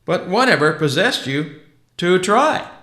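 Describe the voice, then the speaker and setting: A man voicing Santa Claus, a jolly old man who talks in a hearty, drawn-out way and chuckles. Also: sits high, sing-song, sharp tone, echoey room, pitched above the performer's own voice, full character voice. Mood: jovial.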